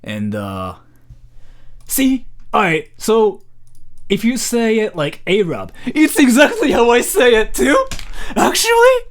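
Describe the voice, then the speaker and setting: Rambling young man with lively pitch. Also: slow pace. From Japan. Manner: rambling, filler-heavy, says "freaking" and "like" constantly. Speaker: live streamer. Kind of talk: casual live-stream chat.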